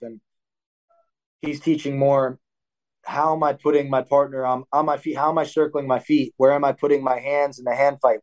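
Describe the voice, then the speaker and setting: A young man, a wrestling coach, talking casually in an interview, often restarting and correcting himself, and livening up when he acts out a practice drill. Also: mid-range voice. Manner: rambling, self-correcting, animated when acting out drills. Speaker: wrestling coach and athlete, guest.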